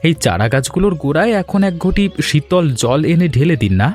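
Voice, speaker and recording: A man's voice: a little high, very animated, brisk, a little mellow. A man voicing an eager, respectful young village son-in-law in a Bengali cartoon story, earnest and polite, with a Bengali accent. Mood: helpful. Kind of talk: animated folk story dialogue. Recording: music bed under the voice.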